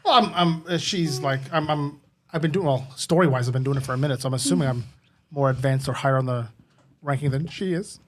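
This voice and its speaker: A woman's voice: very deep voice, quick pace. A woman playing a comedy tabletop game, rambling and giggling. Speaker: player. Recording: noisy recording.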